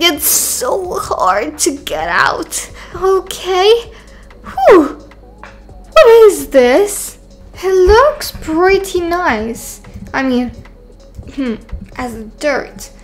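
A woman voicing an excitable, self-assured young girl in a game roleplay, chatting and thinking aloud dramatically. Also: very high voice, drawn-out words, soft background music, full character voice. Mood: startled curiosity. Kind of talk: voiced video game roleplay.

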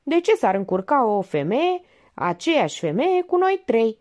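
An easily offended male bit-part actor dodges questions with curt, defensive answers, as a woman reading aloud voices him. The voice sits high with lively swings in pitch.

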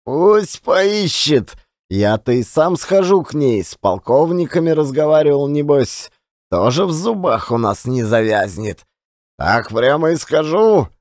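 A man's voice: a little high, lively intonation, a little slow, a little mellow, no room sound, clean studio sound. A man voicing a tender, lamenting old servant who talks in a folksy, sighing way and repeats himself, in an audiobook play. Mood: soothing.

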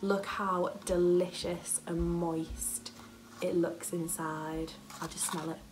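A woman's voice: lowish voice, little pitch movement, takes it slowly, background noise. A young woman from England, gushing and enthusiastic as she narrates a vlog and shows off her haul from home. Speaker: vlogger. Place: living room at home, sitting on floor.

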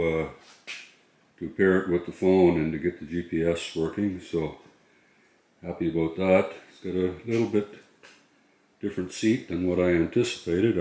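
A man's voice: low-pitched, warm tone, reverberant. A middle-aged man chatting casually to viewers as a vlog host, in an informal, hesitant way. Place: inside a moving truck and a garage.

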